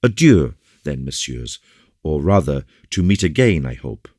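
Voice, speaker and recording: A man's voice: very deep voice, very animated, a little slow, darkish tone, dry recording, studio quality, full character voice. A man voicing an earnest, courteous young officer with an eager, agitated delivery in an audiobook. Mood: relieved, polite. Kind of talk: audiobook character dialogue.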